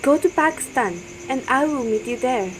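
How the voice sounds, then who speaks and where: A woman's voice: sits high, expressive, moderate pace, poor audio. A woman playing an earnest, devoted young man in love in an amateur radio drama. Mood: earnest, reassuring. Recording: faint noise with chirping crickets.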